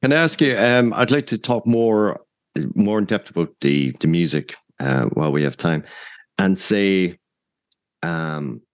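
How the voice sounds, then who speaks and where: A man's voice: sits low, lively intonation, a little slow, dry recording. A man hosting a conversational interview, putting his questions in a tentative, roundabout way. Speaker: interviewer, podcast host.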